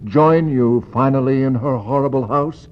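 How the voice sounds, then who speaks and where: An actor playing a solemn, intense explorer who narrates to the dead in poetic, incantatory lines, in a 1960s radio drama. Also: male voice, mid-range voice, normal speed, lightly acted. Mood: brooding, intense. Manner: incantatory, rhetorical questioning. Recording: muffled, faintly noisy 1960s radio recording.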